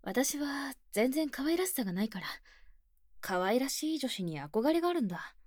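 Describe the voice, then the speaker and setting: A woman playing an earnest, shy, tomboyish senior high school girl in an ASMR voice drama, speaking plainly and hesitantly, often near a whisper, close on a binaural mic. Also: big pitch swings, moderate pace, darkish tone, dry recording. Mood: shyly curious. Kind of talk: Japanese ASMR situation voice drama. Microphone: close binaural microphone, dry studio.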